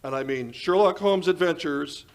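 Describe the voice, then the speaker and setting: An actor playing an eager 1940s radio announcer and sponsor pitchman, polished and emphatic in his delivery. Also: moderate pace, a little hiss or hum, lightly acted. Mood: chummy. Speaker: announcer and host.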